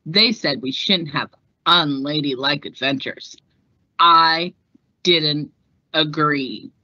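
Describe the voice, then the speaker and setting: A fearless woman aviator read by a storyteller woman recounts her own life with plucky, emphatic deliberation. Her voice is bright and firm, on a slightly dull storybook recording. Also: low-pitched, slow, no echo, voice pushed down, heavily acted. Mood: proudly defiant.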